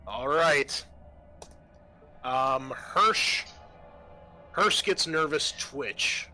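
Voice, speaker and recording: A man with a bright, forward voice at middle pitch acts as game master of a tabletop role-playing game. He narrates and explains rules in a halting way, over clearly audible music. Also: lively intonation.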